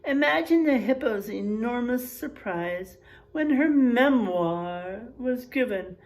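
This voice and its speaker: A woman teacher reading aloud and chatting to young children in an expressive, encouraging, teacherly way. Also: a little high, takes it slowly, low-quality recording. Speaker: first grade teacher. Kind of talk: picture book read-aloud and chat to young pupils.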